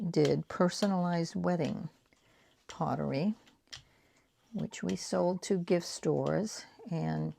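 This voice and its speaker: A woman in her sixties with a light Boston accent, chatting casually and rambling as she makes art prints, dropping into soft whispered asides while she works. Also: deep voice, vocal fry, slight background noise. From New England, United States. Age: 60s. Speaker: artist and craft vlogger.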